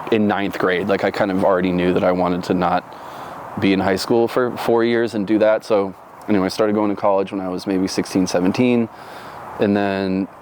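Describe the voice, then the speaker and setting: A man's voice: lowish voice, a little mellow, slight background noise. A man from Florida in his thirties, telling his life story in an oral history interview, reflective and rambling with many fillers and restarts.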